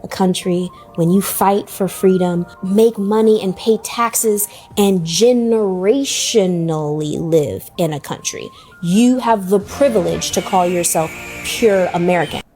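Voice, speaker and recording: A woman's voice: mid-range voice, unhurried, mellow, soft background music. A woman speaking as an interview guest in a played clip, explaining her view earnestly.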